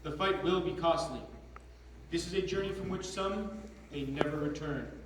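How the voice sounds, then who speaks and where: A man's voice: a little high, moderate pace, lightly acted. A man playing a proud dwarf leader who rallies his company in a declamatory readers theatre style. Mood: solemn commanding. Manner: declamatory, commanding. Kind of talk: readers theatre stage performance. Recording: noisy distant mic in echoey room.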